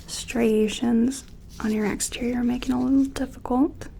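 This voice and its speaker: A woman playing a gentle, curious scientist in an ASMR roleplay, speaking softly and tentatively in a breathy, often whispery, soothing voice. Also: a little high, fairly even intonation, a little hiss or hum. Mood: gentle, focused. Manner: soothing, tentative, apologetic, hesitant pauses. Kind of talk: soft-spoken ASMR roleplay.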